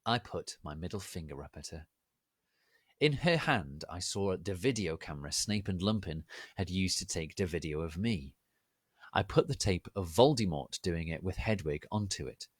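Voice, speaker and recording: A man giving a theatrical, deliberate, mock-serious dramatic reading of fan fiction. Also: deep voice, animated, slightly rough, no room sound, clean studio sound. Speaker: reader of online fan fiction.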